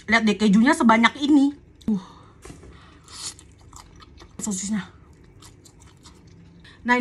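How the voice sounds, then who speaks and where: A woman's voice: fairly high pitch, a bit bright. A woman with an Indonesian accent chatting casually as a food vlogger during a mukbang taste test.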